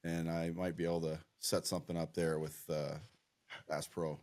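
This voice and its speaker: A man's voice: low voice, level tone, moderate pace, darkish tone. A man in his forties co-hosting a casual podcast, joking and enthusiastically hyping his guest. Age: 40s. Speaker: podcast co-host.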